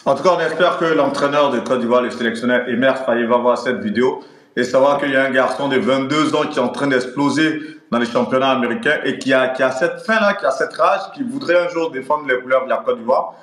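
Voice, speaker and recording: A man's voice: mid-range voice, brisk, fairly bright tone. A male interviewer speaks in a medium register, recorded with strong room echo. He runs a conversational sports interview and builds up to his questions in long, wordy stretches. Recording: echoey, reverberant room sound.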